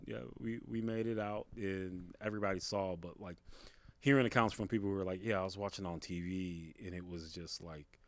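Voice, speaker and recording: A man with a big voice, a podcast guest, telling a personal story in a casual, halting, conversational way. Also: sits low, fairly even intonation, dry recording. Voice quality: big, carrying voice. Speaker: podcast guest, stand-up comedian.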